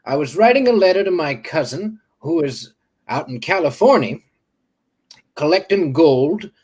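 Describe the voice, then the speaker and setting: A man's voice: fairly high pitch, expressive, a little slow, a little mellow, husky. A man playing a bewildered Civil War doctor who stammers and rambles in old-fashioned bluster. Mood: rambling. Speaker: guest. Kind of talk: improvised comedy character.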